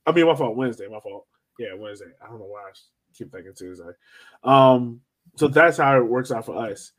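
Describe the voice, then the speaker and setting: A man hosting a soccer podcast, chatting casually and slangily as he thinks out loud. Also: medium pitch, expressive, a little fast. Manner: conversational, slangy, thinking aloud. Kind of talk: casual sports discussion.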